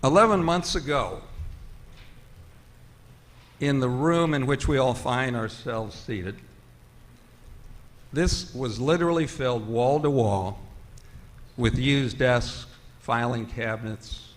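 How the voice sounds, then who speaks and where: Slow-talking man in an echoey hall. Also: mid-range voice. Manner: measured, halting, formal. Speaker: theater producer and host. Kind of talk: live welcome speech to an audience. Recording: echoey hall, noticeable noise.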